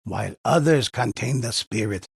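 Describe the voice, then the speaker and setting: An actor playing a solemn old male storyteller in a video game, narrating in a raspy, measured, mysterious way with deliberate pauses. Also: medium pitch, expressive, no room sound, studio quality, full character voice. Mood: solemn, instructive. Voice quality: raspy, husky, gravelly. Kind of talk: scripted dramatic storytelling narration.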